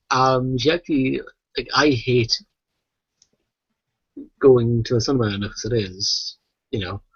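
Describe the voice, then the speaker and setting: A man's voice: mid-range voice, steady tone, measured pace, long pauses, breathy edge, no echo, muffled recording. A British man co-hosting a casual podcast, chatty and rambling, swearing freely. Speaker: podcast co-host.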